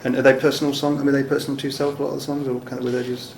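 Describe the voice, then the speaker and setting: A man interviewing someone casually, with rambling, halting questions. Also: mid-range voice, level tone. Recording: echoey room, clear background noise.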